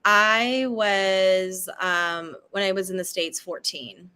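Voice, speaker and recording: Bright-voiced woman reflecting in an interview. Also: mid-range voice, steady tone, slow, clean tone. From Hong Kong. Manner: reflective, talks in loosely linked phrases with frequent "like" and "you know". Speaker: podcast guest, publicity and marketing strategist. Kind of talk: conversational interview answers.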